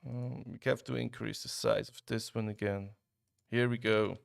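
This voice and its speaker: A young man from Switzerland streams a game live and talks loosely with chat, picking up his thoughts again partway through. His voice is gravelly with a lot of fry and sits at an ordinary pitch. The sound is dry and close to the mic. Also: normal speed, no room sound. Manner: relaxed, chatty, talks to chat, frequent restarts. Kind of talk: casual live-stream commentary while gaming.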